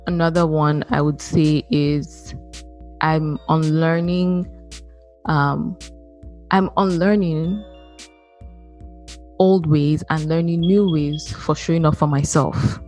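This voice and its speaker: A young woman from Nigeria co-hosts a podcast with a low, easygoing voice. She hedges and pauses often with "um" and "like". Faint music sits behind her. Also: steady tone, darkish tone. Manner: easygoing, hesitant, with many "um"s and "like"s. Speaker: podcast co-host. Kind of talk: casual chat.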